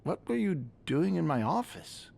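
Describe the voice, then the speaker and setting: A man's voice: on the high side, big pitch swings, measured pace, gravelly, a little mellow, full character voice. An actor playing a stern, impatient male hotel manager in a video game mystery, speaking in a curt, formal, authoritative way. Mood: stern, brusque. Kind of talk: video game cutscene acting.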